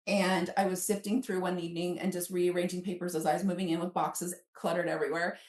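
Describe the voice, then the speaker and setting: A woman's voice: fairly low pitch, level tone, quick pace, a little mellow. A woman in her fifties telling personal stories in a casual, conversational way, acting out quoted asides as a guest. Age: 50s. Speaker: guest author. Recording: roomy echo, distant from microphone.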